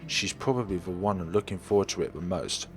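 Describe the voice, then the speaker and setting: A man's voice: low voice, steady tone, fairly quick. A man voicing a casual, impatient but reassuring male friend in a visual novel. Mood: firm, reassuring. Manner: casual, firm, certain.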